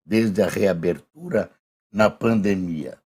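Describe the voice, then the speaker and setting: Brief: hoarse Brazilian male news commentator, deep gravelly voice, unhurried chatty delivery. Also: a little mellow. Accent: Brazilian Portuguese. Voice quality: gravelly, husky. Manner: conversational, reads headlines aloud slowly with emphasis. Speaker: news commentator reviewing front pages. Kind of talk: casual spoken press review.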